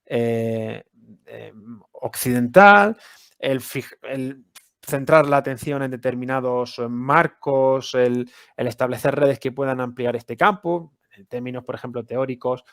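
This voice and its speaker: A man hosting an academic interview podcast, talking in a measured, hesitant way with many fillers and restarts. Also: medium pitch, fairly bright tone, no echo, clean studio sound. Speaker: podcast host.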